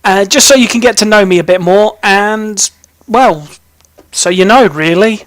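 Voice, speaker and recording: A young man with an English accent speaks in a notably high voice for a male. He tells personal stories casually, like a vlog host, often breaking off and rambling playfully. The sound is dry, with very little room in it. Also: measured pace, fairly bright tone, no echo. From British, raised in army family in Germany, Hong Kong and England. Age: young adult. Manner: rambling, self-interrupting, playful. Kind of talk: casual chatty personal storytelling to camera.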